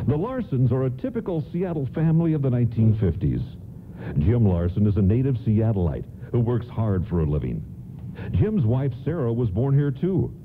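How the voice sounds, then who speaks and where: A muffled, narrow-band recording with faint noise carries a nostalgic radio storyteller. His delivery is scripted and moves up and down freely in pitch. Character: nostalgic male storyteller of a Christmas radio play. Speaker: narrator. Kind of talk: scripted radio play narration. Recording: muffled phone-like audio, faint rumbling noise.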